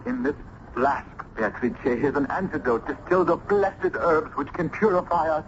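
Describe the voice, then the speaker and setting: Tormented young lover, wildly swinging pitch. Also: male voice, lively intonation, moderate pace. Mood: urgent, hopeful. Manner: melodramatic, intense. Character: passionate young male student, tormented lover, bitter and accusing. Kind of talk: old-time radio drama acting. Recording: noisy, muffled old radio broadcast.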